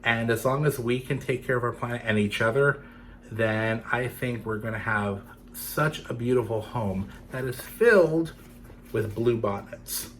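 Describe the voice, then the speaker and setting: Male children's storyteller, medium pitch, dramatic careful phrasing, speaking to young listeners over light ambience. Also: unhurried, a bit bright, slight background noise, low-quality recording. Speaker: storyteller for children's theatre series. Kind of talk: expressive children's storybook reading.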